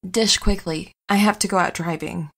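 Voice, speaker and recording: A woman's voice: lowish voice, steady tone, moderate pace, vocal fry, a bit bright. Woman playing a breezy, teasing superheroine wife. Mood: casual. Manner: nonchalant, teasing. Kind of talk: audio comedy drama acting.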